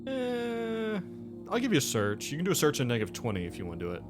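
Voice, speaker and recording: A man running a tabletop game, narrating off the cuff in a halting, conversational, explanatory way. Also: a little high, wildly swooping pitch. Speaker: game master. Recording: music behind the voice.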